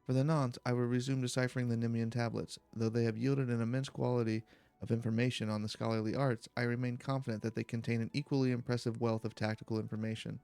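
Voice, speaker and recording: An earnest scholar read by a man speaks formal, wordy game dialogue in a measured manner. The voice is creaky and mid-pitched, with soft music behind it. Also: level tone, close to an everyday voice. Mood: earnest, determined. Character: earnest, formal scholar praising a fellow healer.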